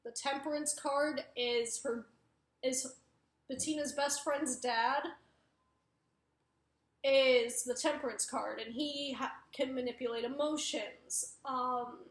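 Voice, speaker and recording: A young woman with a high voice and a mixed Wisconsin, New York and Arizona accent, talking casually and rambling as she corrects herself. The room around her adds a noticeable echo. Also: steady tone, slow pace. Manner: rambling, self-correcting, many fillers. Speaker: book reviewer. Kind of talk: casual chat. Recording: echoey room.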